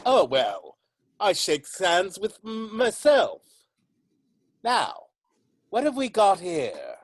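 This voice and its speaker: A kindly chimney sweep, played by a man from a stage musical, very unhurried and folksy. He is cheerful and reassuring, recorded close and dry. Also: high-pitched, wildly swooping pitch, no room sound, full character voice. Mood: cheerful, friendly. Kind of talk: amateur musical read-through.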